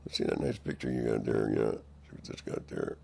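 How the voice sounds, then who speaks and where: A hoarse man in a rambling monologue, drifting between half-finished sentences and mock-courtroom phrasing. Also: very deep voice, a little fast, warm tone, a little hiss or hum.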